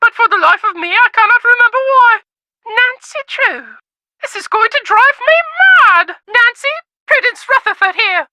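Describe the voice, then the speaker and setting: A woman's voice: very high-pitched, expressive, normal speed, sharp tone, heavily acted. An actress voicing a haughty, anxious rich lady with a fussy, theatrical manner in a video game. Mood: fretful, imperious. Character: haughty, anxious rich lady chasing her fashion designs.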